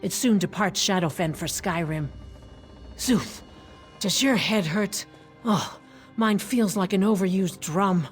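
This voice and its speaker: A woman's voice: mid-range voice, takes it slowly, faint music behind, lightly acted. A woman playing an aging lizardfolk war hero in a fantasy game, chatty, wry and self-deprecating. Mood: wry, cheerful.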